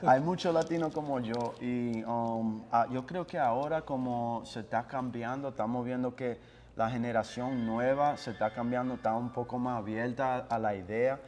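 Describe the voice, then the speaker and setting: A man's voice: medium pitch, normal speed, long reverb tail, a little hiss or hum. A young man, a guest musician born in the United States, chatting casually in an interview and dropping English words into his Spanish. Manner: mixes English words into Spanish, relaxed and laid-back. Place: small office with couches, indoors.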